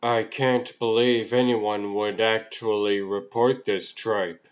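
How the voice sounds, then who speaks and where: A man playing an earnest, exasperated male superhero in a comic-book dub, speaking in a measured, serious, weary way. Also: on the low side, level tone, muffled recording. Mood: weary exasperation.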